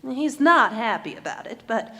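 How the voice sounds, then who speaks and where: Woman acting headstrong teenage girl of the Old West, highish pitch, folksy, radio drama style, reverberant theater hall. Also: very animated, normal speed, bright, forceful tone. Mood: eager, defiant. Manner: folksy rural phrasing. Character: eager, headstrong eighteen-year-old frontier girl. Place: performing arts center stage. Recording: echoey hall, live stage performance.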